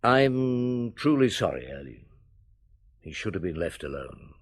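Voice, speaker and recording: A middle-aged actor playing a patient police chief in a radio drama, questioning a witness in a calm, coaxing way. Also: male voice, sits low, measured pace, husky, exaggerated voice.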